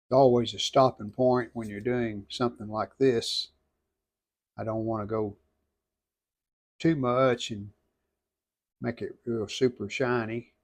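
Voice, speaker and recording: A man's voice: medium pitch, fairly even intonation, slow pace, pauses often. A man narrating a hands-on restoration casually, thinking aloud in short, plainspoken phrases. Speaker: restoration video host.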